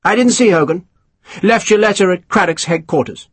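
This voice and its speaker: A man's voice: high-pitched, normal speed, no echo, pitched above the performer's own voice. A man voicing a pompous, scheming British colonel who talks down to others in a haughty, snappish way, reading for an audiobook. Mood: snapping. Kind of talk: audiobook character voice.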